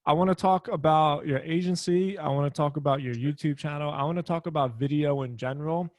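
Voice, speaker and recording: A man from the Philadelphia area hosting a casual interview, relaxed and friendly, laughing easily as he asks questions. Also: a little high, fairly quick, no echo, studio quality. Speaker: podcast host.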